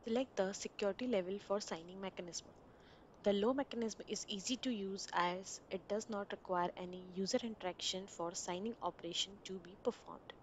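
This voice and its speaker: A woman narrating a screen-recorded software installation walkthrough, giving plain step-by-step instructions over a desktop mic. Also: mid-range voice, fairly quick, slight background noise.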